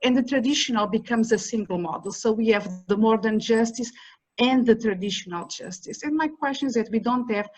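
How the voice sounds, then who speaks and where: A middle-aged woman academic speaks at a roundtable in English, which is not her first language. Her delivery is measured and emphatic. She restarts phrases and repeats words as she lays out her argument. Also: on the high side, low-quality recording. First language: a language other than English or Portuguese. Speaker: academic panelist. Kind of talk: roundtable talk.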